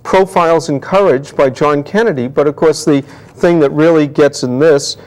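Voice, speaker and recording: Male interview guest, antiquarian dealer type. Fairly high pitch, chatty, explains things through simple examples. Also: normal speed, rough recording. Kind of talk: conversational interview, explaining his trade.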